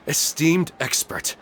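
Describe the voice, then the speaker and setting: Deferential townsman, high, bright voice, earnest game dialogue over faint music. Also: slight background noise, heavily acted. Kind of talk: video game voice acting.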